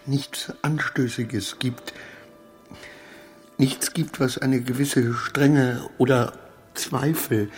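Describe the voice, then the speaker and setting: An actor playing an anxious, paranoid clerk losing his mind in a radio play, halting and often dropping to a whisper. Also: medium pitch, natural voice. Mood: nervously submissive. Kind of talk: literary radio play acting.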